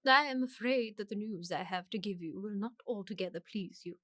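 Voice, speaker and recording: A woman's voice: medium pitch, brisk, pushed and bright, dry recording, studio quality. A woman playing an imperious, disapproving older aristocratic aunt in a period radio comedy, with a pompous, commanding manner. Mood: haughty, grave.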